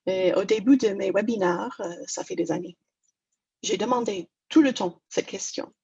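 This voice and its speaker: Woman, English Canadian accent, second-language speaker, hesitant, many fillers, husky, dull lo-fi call audio. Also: mid-range voice, normal speed, a little mellow. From Toronto, Canada. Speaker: negotiation trainer. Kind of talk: webinar presentation.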